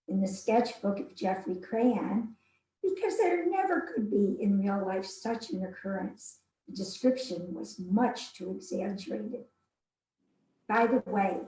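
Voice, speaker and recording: In a roomy, echoing space, a woman performs a devoted young 19th-century wife in a dramatic letter reading. Her voice sits fairly high and soft-toned. She is candid and earnest, with formal, old-fashioned wording. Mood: fond, playful. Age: young adult.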